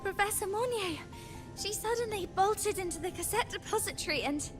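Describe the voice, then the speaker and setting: Squeaky, flustered young assistant girl. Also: lively intonation. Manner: flustered, halting. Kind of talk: scripted game dialogue. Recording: music behind the voice.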